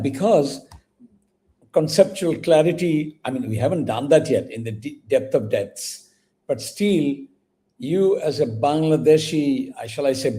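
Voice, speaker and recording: Courteous interviewer, mellow raised voice. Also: male voice, on the high side. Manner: courteous, meandering, many fillers. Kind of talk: interview conversation.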